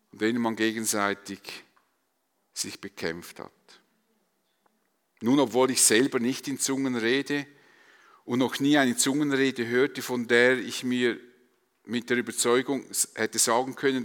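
Male Swiss German preacher: forceful bright tone, rhetorical and emphatic, recorded in a big reverberant hall. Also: mid-range voice. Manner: emphatic, rhetorical repetition and questions. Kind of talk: sermon to a congregation.

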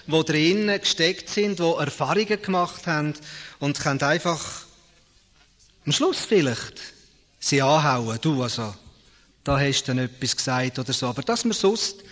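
A man's voice: fairly high pitch, normal speed, a bit bright. A man with a Swiss German accent giving an informal spoken welcome as host to an audience, introducing guest speakers. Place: large reverberant hall. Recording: echoey hall with long reverb.

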